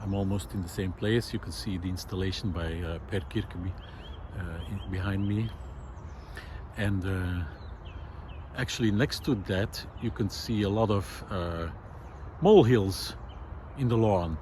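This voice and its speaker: A man speaking in a reflective, unscripted explainer style, hesitant and thoughtful, often pausing mid-sentence as he presents. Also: low voice. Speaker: presenter of a nature and philosophy video series. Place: outdoors in a park. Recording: outdoor recording with clear background noise.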